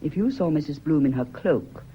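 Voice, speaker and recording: A woman's voice: low voice, lively intonation, normal speed, warm tone, husky. An actress playing an anxious, suspicious woman, intense and probing, in an old-fashioned British radio drama style. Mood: suspicious, insistent. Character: anxious, suspicious woman questioning her secret lover. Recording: dull, noisy old radio recording.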